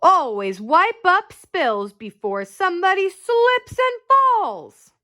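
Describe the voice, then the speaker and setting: A woman as an emphatic, high-pitched police officer. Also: sing-song, slow, sharp tone, exaggerated voice. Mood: earnest, instructive. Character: male police officer giving safety tips, earnest, proud. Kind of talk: picture book read-aloud.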